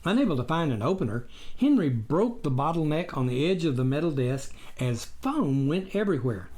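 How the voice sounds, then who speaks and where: Male narrator, author reading memoir, scripted audiobook style, expressive, dramatic, very varied intonation. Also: fairly high pitch, normal speed.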